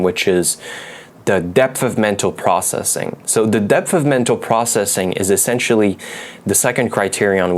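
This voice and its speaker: Low-voiced man, casual teaching talk. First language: not English. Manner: loose and conversational, many fillers and restarts. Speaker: language learning video host. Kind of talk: unscripted explanatory talk to camera.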